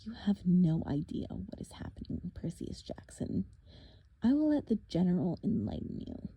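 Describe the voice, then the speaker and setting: A gloating monstrous villain voiced by a woman narrator who drawls his threats slowly and laughs coldly. The voice is dark and mellow, a little husky. The recording is close and dry, with no room sound. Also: lowish voice, big pitch swings, dry recording, muffled recording, exaggerated voice. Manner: slow, menacing, with cold laughs. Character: gloating monstrous male villain doctor, cruel and smug. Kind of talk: audiobook character voice.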